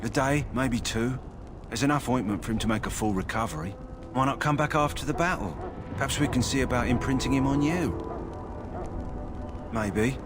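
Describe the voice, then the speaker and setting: Actor as male kennel master, fantasy game, medium pitch, practical, wry, loud music behind. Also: normal speed, pauses often, natural voice. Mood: matter-of-fact. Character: practical, kindly kennel keeper tending war hounds.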